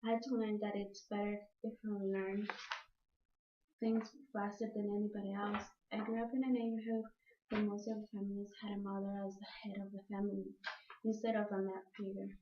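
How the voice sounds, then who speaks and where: A young woman from El Salvador with a Salvadoran accent and Spanish as her first language gives a prepared speech as a student, haltingly repeating and correcting words. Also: mid-range voice, flat delivery, background noise. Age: young adult. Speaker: student speaker. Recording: echoey room, muffled dull sound.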